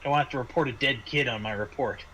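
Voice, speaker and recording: A man voicing a cautious, businesslike ship captain, speaking in a halting, matter-of-fact way. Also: mid-range voice, bright, forceful tone, slight background noise, voice pushed down, close to an everyday voice, rough recording. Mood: concerned.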